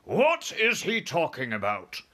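A man's voice: on the high side, huge pitch swings, measured pace, pushed and bright, voice pushed up, full character voice. A man voicing a proud, grumpy air force chief in a storybook, brusque and grudging. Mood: irritated. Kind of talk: storybook character voice.

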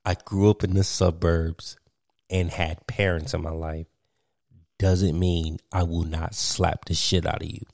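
A young man hosts a loose podcast conversation, rambling through his points with plenty of "um"s and frequent laughs. His voice sits very low, and the room sounds dry and close. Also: flat delivery, measured pace, creaky, a little mellow, dry recording. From Virginia, US. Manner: rambling, filler-heavy, laughs often. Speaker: podcast host. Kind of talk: casual chat.

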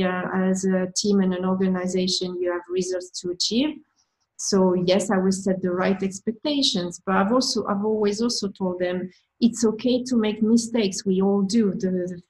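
A woman's voice: mid-range voice, a little mellow, rough recording. A woman with a French accent, answering interview questions as a manager, thoughtful and with frequent restarts.

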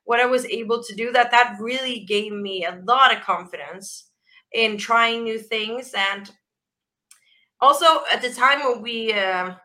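A woman with a naturally raspy voice that still rings bright and forceful, pitched a little high. She talks loosely as an interview guest, laughing easily and circling back with fillers and restarts. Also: a little slow. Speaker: rock band vocalist, guest. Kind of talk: casual interview chat.

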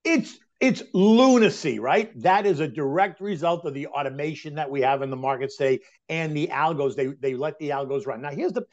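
A man in his sixties talking excitedly and emphatically about markets and aging in a casual chat. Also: fairly high pitch, a little fast, hardly any pauses. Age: 60s. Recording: dull lo-fi sound.

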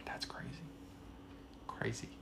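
Excitable young man, casual podcast chat. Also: measured pace, whispered. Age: 20s. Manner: excitable, says "bro" a lot. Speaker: podcast co-host.

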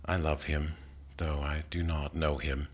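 A man reading poetry aloud in a measured, deliberate manner, pausing between phrases. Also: very deep voice, level tone. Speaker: poetry reader. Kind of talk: literary poetry reading, scripted recitation.

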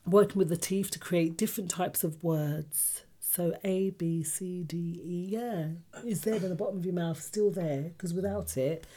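Mellow-voiced woman radio host. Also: on the low side, moderate pace, few pauses. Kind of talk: casual radio chat.

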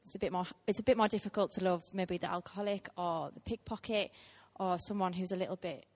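A woman's voice: fairly low pitch, fast talker. A woman, preaching a heartfelt sermon to a church with frequent ums. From Teesside, England. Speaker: guest preacher.

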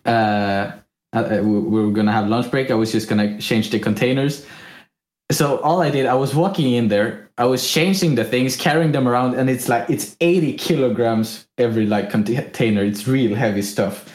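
A man's voice: mid-range voice, normal speed, a little mellow. A young man from Sweden telling a story in a casual, rambling way, laughing often. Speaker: podcast co-host. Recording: echoey room.

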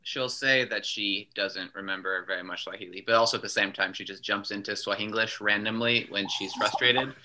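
Guest, man, 20s, low-ish pitch, very bright tone, chatty. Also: fairly even intonation, a little fast, few pauses, poor audio. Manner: chatty, full of "like". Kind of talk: casual storytelling.

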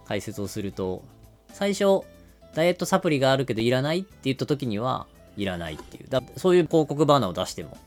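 A man's voice: mid-range voice, very animated, quick pace, soft background music. A man hosting a podcast, explaining and narrating in a polite, casual way. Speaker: podcast host.

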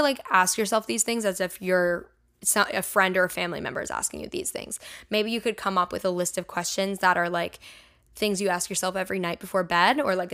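A woman's voice: mid-range voice, fairly bright tone. Chatty young woman rambling casually. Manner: chatty, filler-heavy with frequent "like" and "um"; candid and personal. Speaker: podcast host and songwriter. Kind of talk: casual solo podcast chat.

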